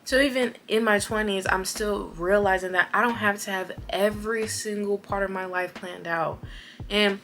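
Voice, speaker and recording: A woman, chatting casually to camera as a vlogger, animated and repeating words for emphasis. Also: medium pitch, normal speed, slight background noise. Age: 20s.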